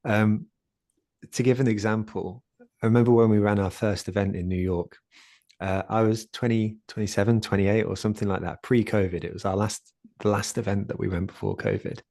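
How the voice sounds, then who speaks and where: Soft-spoken guest, a man with a low, mellow voice, hesitant and full of fillers, over a dry video call. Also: fairly even intonation, a little fast, no echo. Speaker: guest speaker, community founder. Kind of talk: conversational talk in an online session.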